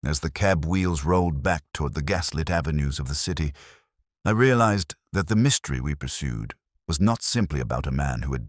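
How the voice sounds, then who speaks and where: A man with a very deep voice narrates a story in a close, dry sound. His delivery is solemn and dramatic, with lively rises and falls in pitch. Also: dry recording. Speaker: audiobook narrator.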